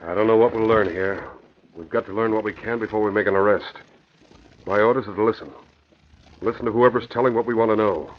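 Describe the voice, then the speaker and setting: An actor playing a stern, authoritative police commissioner giving brisk orders in an old radio drama. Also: male voice, lowish voice, fairly even intonation, close to an everyday voice. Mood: firm. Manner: brisk, commanding. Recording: muffled, noisy old radio recording.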